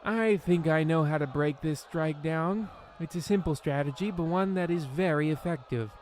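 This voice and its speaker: A man's voice: on the high side, moderate pace, darkish tone, a faint crowd, slight background noise, lightly acted. Man playing a pompous, scheming radio show host. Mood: smug scheming. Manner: theatrical, grandiose. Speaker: host of a radio play series. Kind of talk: scripted old-time radio horror host parody.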